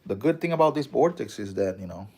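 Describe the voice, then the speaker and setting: Puerto Rican man, very deep, casual. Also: expressive, fast, a little mellow, slightly rough. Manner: rambling, reads and answers viewer comments; loose, swears casually. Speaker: livestream host. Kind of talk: casual livestream chat.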